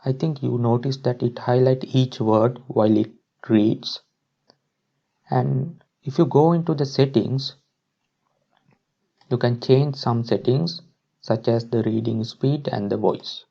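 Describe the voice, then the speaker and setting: A man presenting a step-by-step software tutorial as a screen-recording voice-over. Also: mid-range voice, takes it slowly, vocal fry, dull audio. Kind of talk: instructional screen-recording narration.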